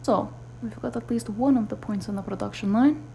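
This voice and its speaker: A woman's voice: mid-range voice, fairly quick, creaky, warm tone, slight background noise, lightly acted. A woman playing a tough, blunt, sardonic investigator's partner in a cyberpunk noir audio drama. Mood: dry, scornful. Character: tough, foul-mouthed, sardonic female cyberpunk partner in crime.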